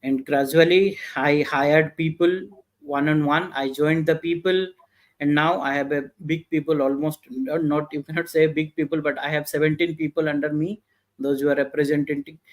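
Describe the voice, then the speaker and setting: Halting Indian man, high voice. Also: poor audio. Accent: Indian English. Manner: halting, many "uh" fillers and restarts. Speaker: guest entrepreneur. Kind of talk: interview answers, casual storytelling.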